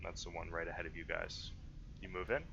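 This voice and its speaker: A man running an online tabletop game as game master, explaining and narrating informally in halting phrases. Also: low-pitched, little pitch movement, vocal fry, bright, forceful tone. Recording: dull lo-fi mic with faint noise.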